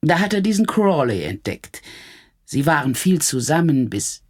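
A woman's voice: low-pitched, sing-song, normal speed, husky, heavily acted. An actress playing a defiant, secretive woman under police questioning in a German radio drama, guarded and indignant.

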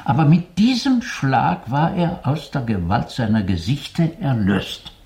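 Slow, animated learned doctor. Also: male voice, mid-range voice, creaky. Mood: absorbed, reading. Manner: theatrical, deliberate, lecturing. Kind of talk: German radio play acting. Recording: faint hiss, 1980s radio drama.

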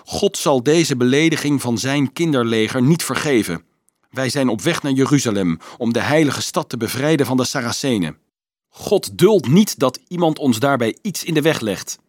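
A man voicing a shrill, pompous young zealot who leads a crusade, in an audiobook. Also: unhurried, no room sound, clean studio sound. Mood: righteous, defiant. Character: shrill, imperious zealot boy leading a child crusade. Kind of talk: audiobook character voice.

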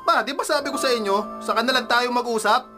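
A man's voice: very high-pitched, fast talker, bright, forceful tone, faint music behind. An actor playing a rebellious, drunk young son in a radio drama, insolent and sarcastic as he brushes people off.

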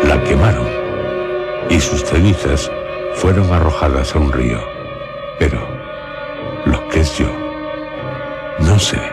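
An extremely deep-voiced man hosts a radio horror tale. He speaks slowly and solemnly, in short, theatrical phrases full of menace. Music plays clearly behind him. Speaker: host and narrator of a radio horror series. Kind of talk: dramatic horror radio narration.

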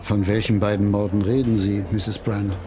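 An actor playing a shrewd, sceptical defence lawyer in a radio crime drama, dismissive and probing. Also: male voice, on the low side, level tone, normal speed, music in the background, close to an everyday voice.

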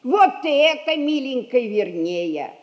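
High-voiced, imperious old merchant matriarch. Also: female voice, very animated, slow pace, a little mellow, lo-fi sound, heavily acted. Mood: sententious. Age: older. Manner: domineering, folksy, drawling. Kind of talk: classic Russian stage comedy acting, 1960s radio play.

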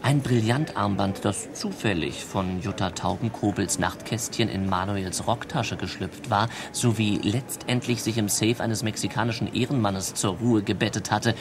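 A man's voice: lowish voice, normal speed, few pauses, background noise, lightly acted. A man playing a wry, teasing narrator in a radio drama, florid and ironic, addressing the listeners.

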